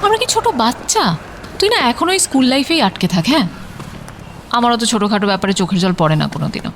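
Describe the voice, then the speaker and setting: A woman's voice: fairly high pitch, sing-song, quick pace, slight background noise. A woman playing a young professional, a bantering, defensive and devoted girlfriend in an audio story. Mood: teasing, defensive. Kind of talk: audio story dialogue in casual Bengali.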